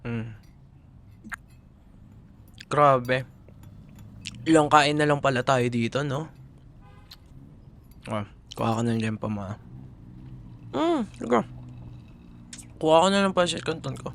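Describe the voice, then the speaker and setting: A man with a Filipino accent playing an affectionate, teasing young college boyfriend in an intimate, softly hesitant ASMR roleplay. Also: medium pitch, expressive, slow pace, long pauses, a little hiss or hum. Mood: affectionate, playful.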